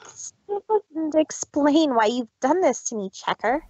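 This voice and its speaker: A woman's voice: high-pitched, very animated, slow pace, dark tone, highs cut off, full character voice. A woman voicing a hurt younger-sister mare in a dramatic story reading, earnest and imploring. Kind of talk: dramatic fan fiction reading.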